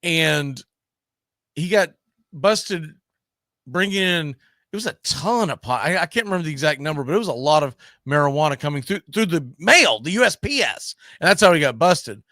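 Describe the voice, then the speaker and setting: Animated high-pitched man debating sports. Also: moderate pace, dry recording, studio quality. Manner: emphatic, argumentative, uses rhetorical questions. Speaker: sports talk show host.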